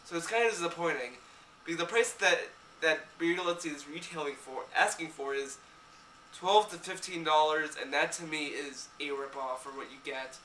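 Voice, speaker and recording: A man's voice: a little high, moderate pace, bright, forceful tone, roomy sound, far from the mic, a little hiss or hum, low-quality recording. A young man, a little sick, giving a rambling casual review of a game, with many restarts and fillers.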